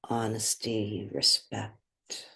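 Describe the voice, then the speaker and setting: Slow, husky woman guiding meditation. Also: rumbling low, fairly even intonation. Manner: soft-spoken, pausing between short phrases. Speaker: meditation guide. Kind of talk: guided meditation.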